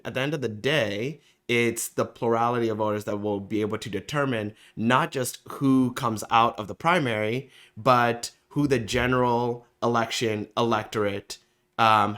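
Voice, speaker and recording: Low-voiced man, thoughtful and halting. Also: fairly bright tone. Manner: thoughtful, many fillers and restarts. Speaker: community organizer, guest. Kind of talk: conversational interview answer.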